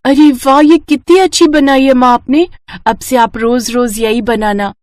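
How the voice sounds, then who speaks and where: Woman as a high-pitched, picky little girl. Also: steady tone, unhurried, a little mellow, slight background noise. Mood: delighted. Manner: eager, pleading. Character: picky, excitable little daughter, a young girl. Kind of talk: acted dialogue in a children's moral story.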